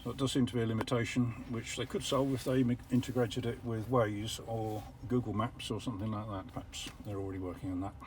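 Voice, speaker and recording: An older English man explaining how an electric car works from the driver's seat, measured and a little wry. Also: lowish voice, flat delivery, slight background noise, poor audio. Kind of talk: casual vlog commentary. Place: parked car.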